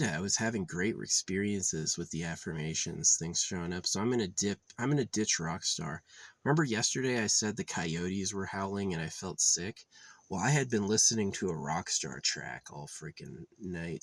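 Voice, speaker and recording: Deep gravelly man rambling casually. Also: no echo. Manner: loose, full of fillers and restarts. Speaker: vlogger.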